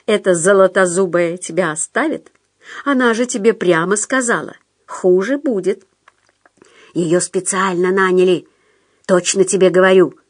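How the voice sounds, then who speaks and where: An insinuating hallucinated fellow acted by a woman reader coaxes and nags with plaintive familiarity. He is unhurried and conspiratorial, as a character in an audiobook. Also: fairly low pitch, husky. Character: insinuating male hallucinated phantom, nagging and conspiratorial.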